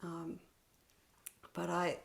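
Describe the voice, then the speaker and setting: A woman gently leading a spiritual meditation practice over a video call, speaking softly and often half-whispering. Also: lowish voice, monotone, gravelly. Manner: gentle, unhurried, laughs lightly. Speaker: meditation leader.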